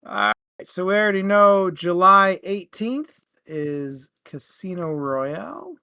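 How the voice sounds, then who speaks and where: A man's voice: fairly high pitch, pushed and bright. A man co-hosting a book discussion show, chatting casually in an informal, jokey and sometimes profane way. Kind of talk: casual book chat with plugs.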